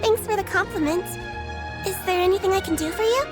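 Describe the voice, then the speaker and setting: A woman's voice: very high-pitched, brisk. A woman voicing a cheerful, naive young girl detective in a video game, chirpy and scatterbrained, with music playing behind. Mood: sweet. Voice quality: bright and girlish.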